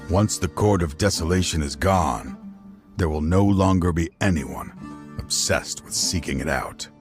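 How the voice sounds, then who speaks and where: Remorseful dying old scholar, grave, weary, very deep, game score beneath. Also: male voice, measured pace, faint music behind. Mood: resolute. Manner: grave, weary, low-spoken. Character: dying older male scholar, remorseful and resolute. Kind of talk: video game cutscene voice acting. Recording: game dialogue over loud score.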